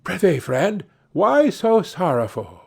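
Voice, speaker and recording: Storybook dwarf played by a man: small, rough, nosy and coaxing, high swooping voice, archaic phrasing. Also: sing-song, slow pace, a little mellow, exaggerated voice. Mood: coaxing, curious. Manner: archaic, probing questions. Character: little rough-looking male dwarf, inquisitive and coaxing.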